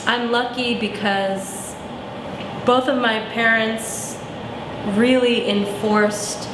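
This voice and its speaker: A thoughtful young woman speaking in an interview, pausing to find her words. Her voice sounds roomy and echoey, with steady background noise in the recording. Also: a little high. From Arizona, USA. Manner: thoughtful, searching for words with many ums. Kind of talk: reflective personal interview.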